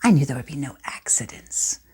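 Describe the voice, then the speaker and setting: A woman playing a reflective, intense stage actress in a theatre monologue, speaking deliberately with dramatic pauses. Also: extremely low pitch, lively intonation, a little mellow, slightly rough, in a whisper, pitched below the performer's own voice, full character voice. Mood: tense, reflective. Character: poised stage actress recalling past performances, intense and reflective.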